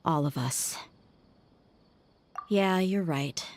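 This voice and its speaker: A woman's voice: sits low, extremely slow. An actress playing a tough, plainspoken, guarded young mercenary woman in a video game. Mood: regretful.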